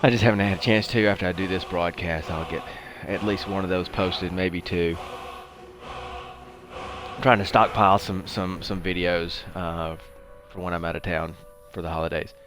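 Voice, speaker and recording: A man's voice: low voice, steady tone, moderate pace, over music. A man with a congested, sniffly head cold, giving casual, rambling game commentary as the host of a livestream.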